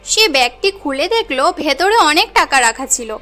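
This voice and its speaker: A woman tells a cartoon story in a high voice. The delivery is scripted storybook narration, with soft music underneath. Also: big pitch swings, normal speed.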